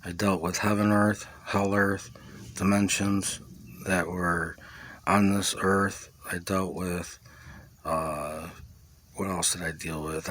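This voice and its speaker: A man giving a rambling first-person account, halting as he searches for his words. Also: low voice, a bit bright. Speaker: video creator recounting own experience.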